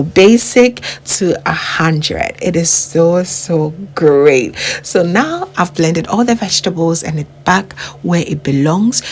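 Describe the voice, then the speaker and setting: Young Ghanaian woman, cooking-channel host, upbeat and effusive, lowish voice, light hiss behind. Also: a little mellow. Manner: enthusiastic, repeats words for emphasis, addresses viewers as family. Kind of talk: cooking tutorial voice-over.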